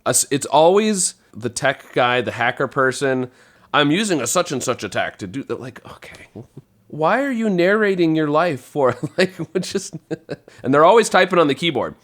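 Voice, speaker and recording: A man talking casually on a podcast, telling things in an animated, colloquial way. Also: fairly high pitch, moderate pace.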